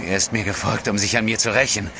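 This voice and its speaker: An actor playing a guilt-ridden, obsessive scientist in a radio play, speaking gravely and haltingly. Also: male voice, on the low side, fairly even intonation. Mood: grave, remorseful. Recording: noisy ship ambience effects.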